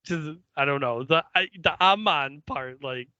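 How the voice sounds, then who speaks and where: A man co-hosting a podcast, giving a casual episode recap in a halting, hesitant, foul-mouthed way. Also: fairly high pitch, pushed and bright, clean tone, no echo, lo-fi sound. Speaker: podcast co-host.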